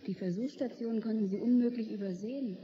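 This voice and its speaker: An actress playing a sharp-tongued, skeptical young woman in a radio play, dry and probing in her delivery. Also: on the high side, quick pace, natural voice. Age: young adult. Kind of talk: German radio play dialogue. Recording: dull, slightly noisy radio drama recording.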